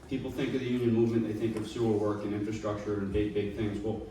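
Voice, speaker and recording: A man's voice: fairly low pitch, flat delivery, fast talker, dark tone, slight background noise. A man from Long Island making an earnest, persuasive speech at a public panel, often checking in with "right?". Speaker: panel speaker. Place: meeting room. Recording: echoey hall, mic far off.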